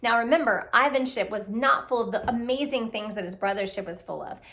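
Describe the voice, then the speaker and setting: A woman telling a children's puppet story in an expressive way, speaking directly to young listeners with questions and exclamations. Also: fairly high pitch, fairly quick. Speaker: storyteller, puppet show reader.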